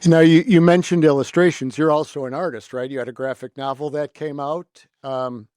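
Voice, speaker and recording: A man's voice: fairly high pitch, steady tone, fairly quick, darkish tone, dry recording, studio quality. A middle-aged man hosting a casual interview in a chatty, rambling way. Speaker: podcast host.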